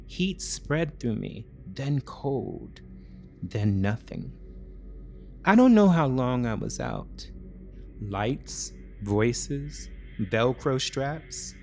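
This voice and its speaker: A man reads a scripted first-person drama at a slow pace. His pitch moves expressively through short, clipped phrases and dramatic pauses. Faint music plays behind him. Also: sing-song.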